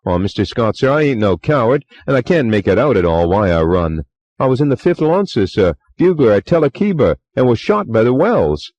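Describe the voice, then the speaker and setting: A man voicing a young Cockney bellboy who talks in rough dialect and keeps saying "sir", in a muffled, lo-fi recording. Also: medium pitch, very animated, brisk, darkish tone, pitched above the performer's own voice. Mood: agitated. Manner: rough dialect speech, deferential, many "sir"s. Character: young Cockney male bellboy, nervous and chatty.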